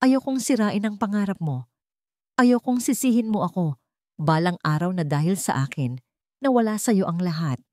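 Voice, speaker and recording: A woman's voice: mid-range voice, lively intonation, normal speed, darkish tone, dry recording, studio quality, natural voice. A woman voicing a humble mechanic and single father who speaks earnestly and tenderly in a storybook romance. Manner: earnest, pleading.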